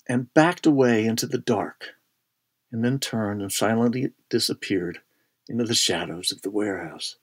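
A middle-aged man narrating a first-person ghost story in a measured, suspenseful way, with deliberate pauses and hushed phrases. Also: medium pitch.

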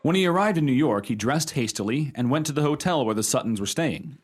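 Genial radio-play storyteller, conversational husband, expressive, very varied intonation, medium pitch. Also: male voice, fairly quick, slightly rough. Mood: confiding. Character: genial husband spinning a ghost yarn to listeners.

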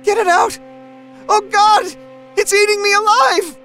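A terrified wife, acted out by a male storyteller, cries out far higher and louder in a horror story. The delivery is frantic and pleading, full of agony, over a musical score. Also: steady tone, measured pace, faint music behind, full character voice. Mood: agonized, pleading. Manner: frantic, screaming.

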